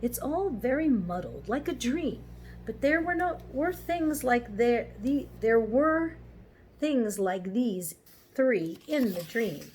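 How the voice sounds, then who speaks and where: A woman playing a slow, thoughtful, slightly muddled talking horse in a storybook reading. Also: medium pitch, lively intonation, slight background noise, natural voice. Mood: slow, puzzled. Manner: slow, pondering.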